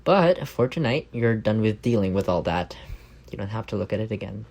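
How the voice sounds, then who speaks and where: Low-voiced, teasing young roommate played by a man. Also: expressive, fairly quick, darkish tone. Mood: warm, joking. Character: affectionate, teasing young roommate with a secret crush. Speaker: voice actor in audio roleplay. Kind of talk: ASMR roleplay, casual intimate chat.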